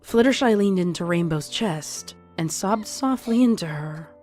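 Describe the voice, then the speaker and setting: A woman's voice: fairly low pitch, lively intonation, measured pace, darkish tone. A woman reading scripted horror fiction as a dramatic story narrator. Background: music under the voice.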